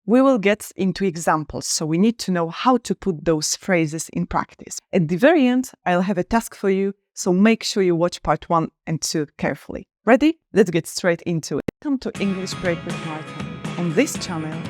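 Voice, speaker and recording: A woman teaching an online English lesson, clear, upbeat and encouraging, slowing down to stress example phrases. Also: fairly low pitch, expressive, a little mellow, no echo, studio quality, faint music behind.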